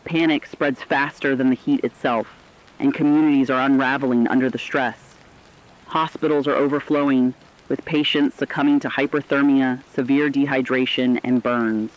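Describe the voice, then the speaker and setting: A man's voice: mid-range voice, steady tone, normal speed, lightly acted. A man playing an alarmed, earnest radio reporter, delivering scripted news in a serious, articulate, emphatic way. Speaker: news correspondent. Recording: dull lo-fi radio sound.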